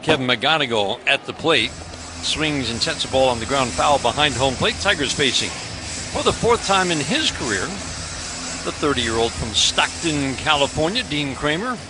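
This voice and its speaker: A man's voice: medium pitch, expressive, normal speed. An American man calling a baseball game live on the radio as the play-by-play announcer. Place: outdoor ballpark broadcast booth. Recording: outdoor stadium ambience, clear background noise. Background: stadium ambience noise.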